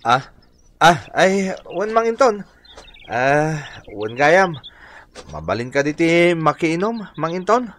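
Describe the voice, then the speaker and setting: A man's voice: a little high, sing-song, takes it slowly, a bit bright, slight background noise, exaggerated voice. A man with an Ilocano Filipino accent acting an eager, helpful young village volunteer in a radio drama. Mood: friendly.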